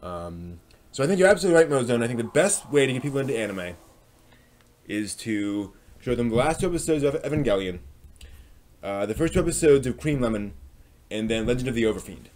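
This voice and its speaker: A man's voice: mid-range voice, very animated, fairly quick, darkish tone. Hesitant, casual male live stream host.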